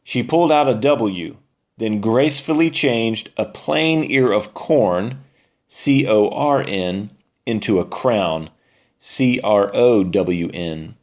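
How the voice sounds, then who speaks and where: A man reading a children's story aloud as narrator, expressively, with dramatic pauses. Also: on the low side, lively intonation, darkish tone.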